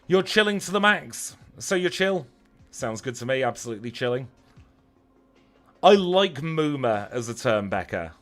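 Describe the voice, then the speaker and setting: A man's voice: medium pitch, sing-song, normal speed, fairly bright tone, faint music behind. A man with a Lancashire accent chatting casually as he streams a video game, wry and self-deprecating. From Lancashire, northern England. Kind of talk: casual livestream chat over gameplay.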